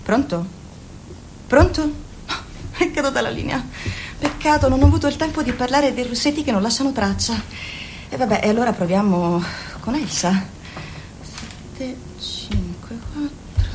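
A woman's voice: on the high side, normal speed, long pauses, slight background noise, natural voice. An actress playing a fussy, chatty middle-aged mother who nags her grown son and sells lipsticks by phone. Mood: sugary, flustered. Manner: nagging, pushy, overly sweet. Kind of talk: radio play acting.

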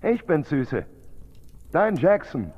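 Slow, lovesick gullible man. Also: fairly high pitch, sing-song, highs cut off, heavily acted. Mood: pleading, tender. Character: lovesick, gullible man calling to his sweetheart. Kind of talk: German radio crime drama acting.

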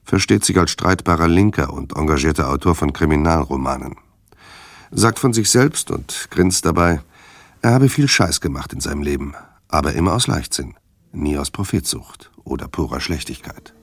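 Very deep, wry male narrator. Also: lively intonation, dry recording, clean studio sound, exaggerated voice. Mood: wry, measured. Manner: measured, drawn-out, wry. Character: wry, measured male narrator reading out a dossier. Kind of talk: scripted narration in radio drama.

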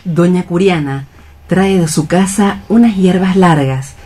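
A man's voice: high voice, unhurried, dark tone. A man narrating radio theatre, reading out scripted stage directions. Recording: faint music behind the voice. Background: faint music.

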